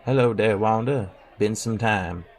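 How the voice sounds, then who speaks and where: A man's voice: lowish voice, unhurried, a faint crowd, natural voice. Man acting a distraught, folksy old villager. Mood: worried, shaken. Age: older. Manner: folksy rustic speech. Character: distraught elderly village father, rustic and humble. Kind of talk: fantasy audio drama acting.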